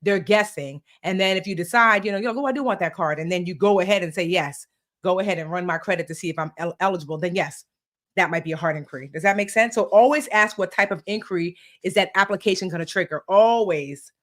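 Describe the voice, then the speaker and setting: A woman's voice: lowish voice, quick pace. Sassy woman in her forties teaching money tips. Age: 40s. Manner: playful, sassy, talks straight to her audience. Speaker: personal finance host. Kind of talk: live-stream teaching chat.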